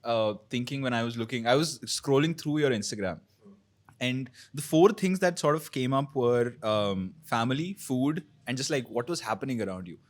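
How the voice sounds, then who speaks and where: A man's voice: mid-range voice, animated, normal speed. Hesitant male podcast interviewer. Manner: conversational, hesitant, many fillers. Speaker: podcast host. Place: restaurant kitchen.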